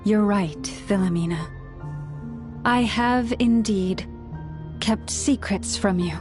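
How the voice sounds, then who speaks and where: An actress voicing a solemn, gentle moon priestess in a video game, speaking in a measured, formal and earnest way. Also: female voice, medium pitch, over music, close to an everyday voice. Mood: solemn, confessional. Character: solemn, gentle young woman, devout moon priestess of a clan. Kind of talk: video game character dialogue.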